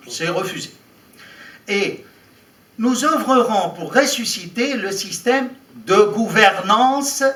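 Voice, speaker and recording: Middle-aged male professor, bilingual, high and bright, animated lecturing with rhetorical questions, recorded in a roomy hall. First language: Arabic. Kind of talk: academic lecture reading and commenting on translated texts. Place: large hall. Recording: echoey hall, mic at a distance.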